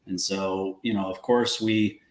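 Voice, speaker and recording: A man appearing as a guest wealth manager, friendly and quick to laugh, explaining things plainly. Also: deep voice, steady tone, roomy sound. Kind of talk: casual interview chat.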